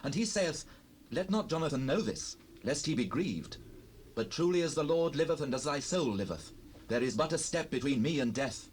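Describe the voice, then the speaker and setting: A man acts out an anxious young fugitive in a biblical audio drama, pleading with a friend. His solemn, archaic speech swings widely in pitch, over a faintly noisy cassette with ambience behind. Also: animated, moderate pace, close to an everyday voice. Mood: earnest pleading.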